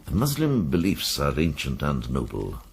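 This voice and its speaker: A worldly old monk with an extremely low, creaky voice. He is a gruff former soldier with a tender streak, reflective and a little halting, in a radio play. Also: huge pitch swings, full character voice. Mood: hesitant, probing. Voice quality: gravelly. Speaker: lead actor in a radio drama. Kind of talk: radio drama acting.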